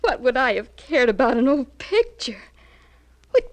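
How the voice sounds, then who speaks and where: Radio crime drama wife: high voice, trusting and fiercely loyal, emotional, insistent delivery. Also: female voice, big pitch swings, unhurried. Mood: shaken.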